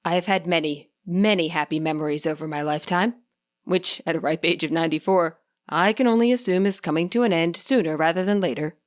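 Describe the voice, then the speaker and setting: A woman voicing a gentle old man, a grandfather in his nineties, speaking in a mild, measured, reflective way for a story. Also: on the low side, pitched below the performer's own voice, natural voice. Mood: wistful. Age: 90s as played.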